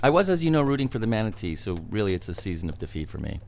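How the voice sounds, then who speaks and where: Wry, self-pitying novelist, voice swinging wildly. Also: male voice, lowish voice, very animated, racing, creaky, a little mellow, slight background noise. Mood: dry, sardonic. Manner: wry, sarcastic, rambling, melodramatic. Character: bitter, self-pitying sarcastic novelist working an office job. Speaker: actor in an audio drama. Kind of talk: naturalistic satirical audio drama acting.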